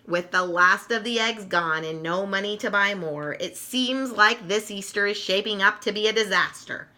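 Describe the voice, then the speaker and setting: A woman reading a children's storybook aloud in an expressive, animated storytelling manner. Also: medium pitch, normal speed. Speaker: storybook reader. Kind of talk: scripted storybook narration for children.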